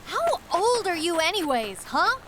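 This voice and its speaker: A woman's voice: extremely high pitch, big pitch swings, a little slow, heavy background noise, exaggerated voice. A woman acting a headstrong, loyal female doctor in an audio drama, speaking in an insistent, challenging way. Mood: angry, challenging.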